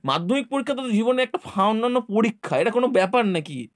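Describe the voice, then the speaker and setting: A man's voice: high-pitched, expressive, fast, no echo, studio quality. A man with a West Bengal Bengali accent presenting casually to students, insistently urging them on and repeating phrases for emphasis. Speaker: YouTube presenter and tutor.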